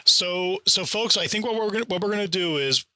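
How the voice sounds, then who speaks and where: A man co-hosting a casual sports podcast, wry and animated, quick to laugh. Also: sits high, no echo.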